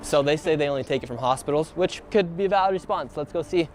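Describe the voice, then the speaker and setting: A young man walking city streets on camera, reading out names and figures in a deadpan way. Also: a little high, fast, faint music behind. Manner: deadpan, reads figures aloud. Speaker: on-camera investigator. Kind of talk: walking documentary narration.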